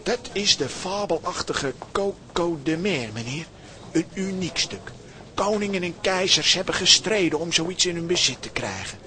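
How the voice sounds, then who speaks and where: Grandiose market trader, highish voice. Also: male voice, expressive, normal speed, bright, forceful tone. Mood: smooth selling. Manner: salesman's patter, pompous. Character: flattering, grandiose male market trader peddling curiosities. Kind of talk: children's audio drama acting. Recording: busy market crowd behind.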